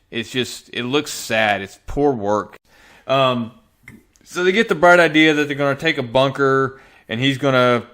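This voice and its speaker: A man's voice: medium pitch, fairly bright tone, clear, resonant tone. A man giving casual review commentary as a comic book reviewer, in a sarcastic, exasperated manner.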